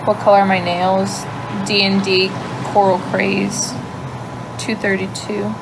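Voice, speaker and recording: A woman's voice: mid-range voice, little pitch movement, slow, gravelly, noisy background. Chatty woman with a light Cajun lilt. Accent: light Cajun Louisiana. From Louisiana. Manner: chatty, thinks aloud, trails off into quiet asides. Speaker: livestream host. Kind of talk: casual livestream chat answering viewers. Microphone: phone.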